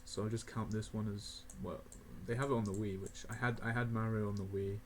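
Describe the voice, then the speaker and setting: An eighteen-year-old man rambling casually through a game tier list, laughing often and filling pauses with ums and uhs. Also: lowish voice, flat delivery, background noise. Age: young adult (18). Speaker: gaming video creator.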